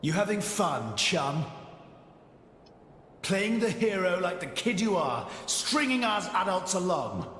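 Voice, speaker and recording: Sneering, bright-voiced resentful man. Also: sits high, sing-song, slow pace, pauses often, exaggerated voice. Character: mocking, resentful grown man taunting a kid. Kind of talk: video game cutscene acting. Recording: echoey game cutscene, long reverb, faint ambience.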